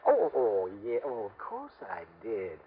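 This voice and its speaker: A man's voice: medium pitch, huge pitch swings, unhurried. An actor playing a fussy, absent-minded, eccentric wealthy old man in an old-time radio drama. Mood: rambling, querulous. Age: older. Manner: absent-minded, contradictory, theatrical. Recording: dull, noisy vintage radio recording.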